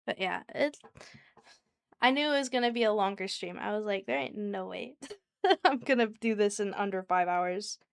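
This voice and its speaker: A woman streaming live and chatting easily with her viewers by name. Her soft, soothing voice sounds smooth and clear. It is recorded dry and close, with no room sound. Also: mid-range voice, moderate pace, no echo. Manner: chatty and giggly, talks to chat by name.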